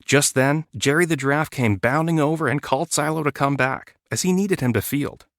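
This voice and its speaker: A man's voice: a little high, brisk, dry recording, studio quality. A man narrating a children's storybook as a guest storyteller.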